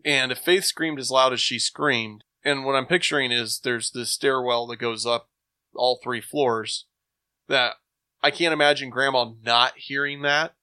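A man in casual chat, rambling enthusiastically with thinking pauses. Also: mid-range voice, fairly even intonation, pushed and bright, smooth, no room sound. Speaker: podcast host.

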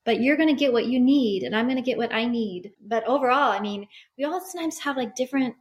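A woman's voice: on the high side, fast talker, a little hiss or hum. A woman hypnotherapist as a podcast guest, talking in an open, easygoing way with frequent restarts. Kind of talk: casual interview chat.

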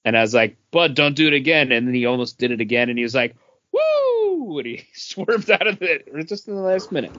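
A man co-hosting a podcast, telling anecdotes in an informal, halting, conversational way. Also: on the high side, wildly swooping pitch, fairly bright tone. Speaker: podcast co-host. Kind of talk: casual chat and anecdotes. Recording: dry but dull lo-fi audio.

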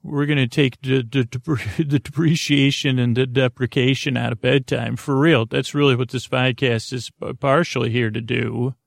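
A man hosting a sleep podcast, talking slowly and meandering with lots of filler words, mumbles and a creaky, soothing tone. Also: medium pitch, fairly even intonation, no room sound. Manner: slow, meandering, soothing and self-deprecating. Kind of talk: rambling bedtime podcast talk.

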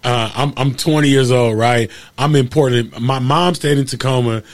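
A man in casual conversation, spinning stories in a loose, slangy way. He repeats himself for emphasis and his voice sits at an ordinary middle pitch. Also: moderate pace. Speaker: guest. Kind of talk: casual storytelling chat.

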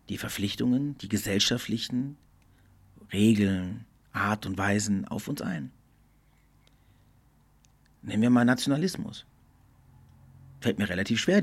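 A middle-aged man hosting a podcast, speaking in a thoughtful, earnest monologue that often slows and drops almost to a whisper. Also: lowish voice, husky. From Germany. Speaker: podcast host. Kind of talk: reflective solo podcast monologue.

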